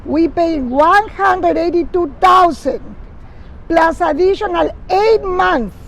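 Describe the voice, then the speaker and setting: A woman's voice: very high-pitched, animated, slow pace, gravelly, poor audio. An older woman with a Peruvian accent delivering prepared public testimony as a speaker at a press conference. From Peru. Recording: outdoor recording with faint traffic noise.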